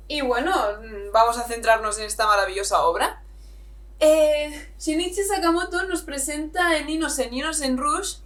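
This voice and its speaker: Young woman, highish voice, chatty review, keeps correcting herself. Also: fairly bright tone. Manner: chatty, self-correcting, apologetic. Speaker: manga reviewer, channel host. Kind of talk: casual video review.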